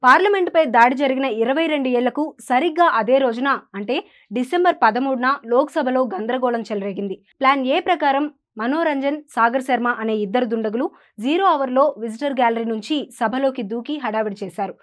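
A woman's voice: high voice, fairly quick. A woman, reading scripted news as an anchor in a formal, projected delivery.

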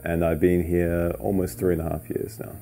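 A man's voice: low-pitched, flat delivery, normal speed, vocal fry, mellow. A twenty-five-year-old man from Perth with an Australian accent, giving a casual personal account in an interview. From Perth, Western Australia. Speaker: documentary interviewee.

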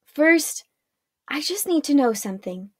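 A woman voicing an emotional long-lost mother in a storybook, gentle and earnest. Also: high voice, big pitch swings, measured pace, no room sound, studio quality, pitched above the performer's own voice. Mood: anxious, relieved.

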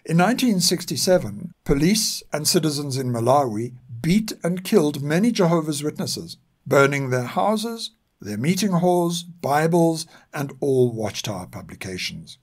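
Husky older South African man narrating. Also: on the high side, very animated, a little slow, few pauses. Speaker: podcast narrator. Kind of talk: scripted narration.

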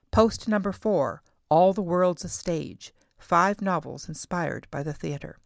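A middle-aged woman reading scripted podcast narration as host, in a measured, carefully enunciated way. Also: on the low side, creaky, no room sound, studio quality.

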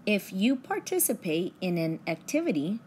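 A young woman teacher reading aloud to children with deliberate, expressive enunciation. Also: mid-range voice, slight background noise.

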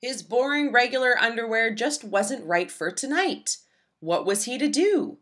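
A woman reading a children's storybook aloud with expressive, dramatic emphasis. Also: on the high side, unhurried. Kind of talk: expressive scripted read-aloud narration for young children.

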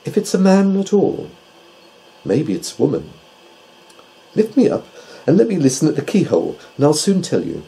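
A proud, exacting owl from a children's storybook, acted out by a male narrator. The voice is pitched up and swoops in lively fashion, with precise, emphatic, self-assured delivery. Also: moderate pace, gravelly, pauses often, exaggerated voice, low-quality recording. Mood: intent, precise. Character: male owl with keen hearing, exacting and haughty.

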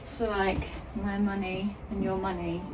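A woman's voice: medium pitch, level tone, unhurried, heavy background noise. A young woman with a British accent chatting casually and informally for a home vlog. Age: young adult. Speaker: vlogging mother of a newborn. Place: lived-in bedroom at home. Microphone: camera or phone, sometimes across the room.

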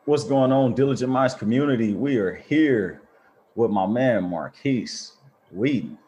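A man's voice: medium pitch, lively intonation, a little slow, warm tone, slightly rough. A man hosting a motivational podcast, speaking earnestly and thanking his listeners. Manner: earnest, grateful, encouraging. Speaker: podcast host.

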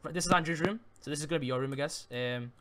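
A man's voice: fairly high pitch, racing, fairly bright tone, a little hiss or hum. A man chatting through improvised game roleplay, often stammering and repeating his words. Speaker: roleplayer showing others around. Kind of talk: improvised Minecraft roleplay chat.

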